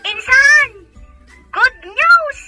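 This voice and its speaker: A woman playing an excited, helpful provincial cousin in an Ilocano audio drama. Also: very high voice, extremely slow, over loud music, heavily acted, rough recording. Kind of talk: Filipino radio-drama phone-call dialogue in Ilocano.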